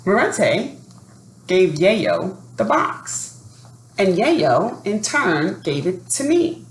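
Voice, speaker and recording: A woman speaking deliberately and expressively as an educator doing a children's read-aloud for families. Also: mid-range voice, very animated. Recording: echoey room with faint background noise.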